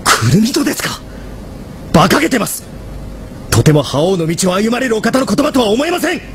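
Young gangster underling, earnest n pleading, really animated, formal, bit of noise behind. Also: male voice, high-pitched, brisk, vocal fry, full character voice. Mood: impassioned reproach. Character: earnest, agitated young male mafia lieutenant, devoted and reproachful. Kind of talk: Japanese drama CD acting.

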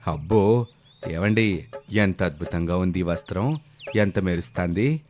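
A man's voice: deep voice, lively intonation, measured pace, darkish tone. A man playing a proud, gullible village headman who frets over his honour in a storybook tale. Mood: feigned awe. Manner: self-important, fretful about reputation. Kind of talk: scripted story dialogue. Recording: background music behind the voice.

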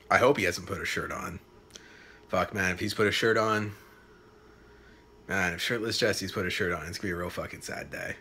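A man's voice: fairly low pitch, pushed and bright. A young man in his late twenties vlogging to a phone camera in a small apartment, rambling casually, swearing and trailing off with fillers. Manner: loose, swearing, halting with fillers. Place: small apartment room indoors. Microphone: phone camera at arm's length.

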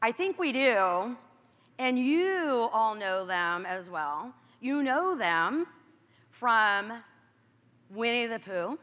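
A woman producer announcing collaborators in a measured way on a large stage. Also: fairly high pitch, big pitch swings, fairly bright tone. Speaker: film producer. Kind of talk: stage presentation. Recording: arena sound with long reverb.

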